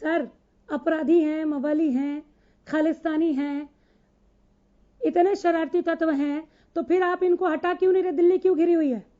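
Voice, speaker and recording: A woman's voice: extremely high pitch, steady tone, normal speed, dark tone. A woman hosting a live TV news debate, pressing guests with insistent, challenging questions and cutting in. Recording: dull lo-fi broadcast audio.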